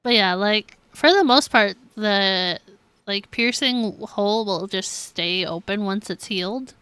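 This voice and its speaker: A young woman livestreamer chatting casually with viewers in a halting, conversational, giggly way while playing a game. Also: mid-range voice, bright, forceful tone, clear, resonant tone, no echo. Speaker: game streamer.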